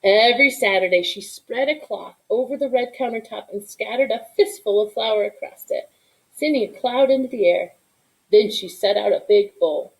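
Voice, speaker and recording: A woman reading a children's story aloud in an expressive narration, with asides to young listeners. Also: medium pitch, moderate pace, creaky, rough recording. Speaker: storybook reader.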